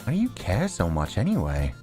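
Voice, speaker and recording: A cynical schoolboy narrator from an anime game, read by a man, pitched low and resigned. He grumbles dryly through his narration over faint music. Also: huge pitch swings, fairly quick, dark tone, big-room sound. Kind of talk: visual novel narration read-aloud.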